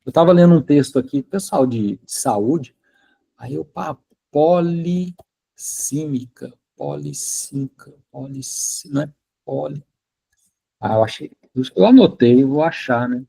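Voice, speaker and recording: A man's voice: medium pitch, sing-song, a little slow, creaky, mellow, slightly rough. A man teaching a university class in a casual, chatty way, often checking that students are following along. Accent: Brazilian Portuguese. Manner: chatty, asks students if they follow, many fillers. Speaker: university lecturer. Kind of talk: informal classroom lecture.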